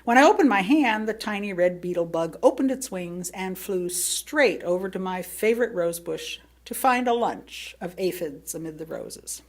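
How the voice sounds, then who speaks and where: An American woman reads a sermon aloud as personal storytelling, speaking expressively with theatrical emphasis and pauses. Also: on the low side, animated. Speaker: lay reader delivering a written sermon.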